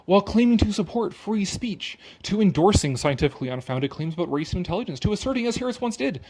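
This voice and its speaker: A man's voice: high-pitched, sing-song, fast talker, darkish tone. A man doing a sarcastic dramatic reading, breaking off for casual, opinionated and mocking commentary with some swearing. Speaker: commentator reading an article aloud with his own remarks.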